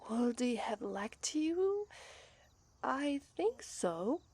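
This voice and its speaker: A tender, shy demon princess bride, played by a woman with an Italian accent, speaks in a breathy, slightly high voice that often sinks to a hush. She stumbles and restarts when she is nervous. The sound is close and dry, as in an ASMR roleplay. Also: very animated, drawn-out words, no echo, heavily acted. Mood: wistful. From Italy. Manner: gentle and soft-spoken, often hushed to a whisper, with nervous hesitant restarts. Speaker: voice actress.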